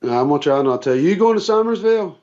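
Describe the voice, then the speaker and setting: A man being interviewed casually as a guest over the phone, speaking in a plain, low-key, somewhat hesitant way. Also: animated, quick pace, darkish tone. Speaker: guest, tournament kayak angler. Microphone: remote phone call. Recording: dull, band-limited phone call audio.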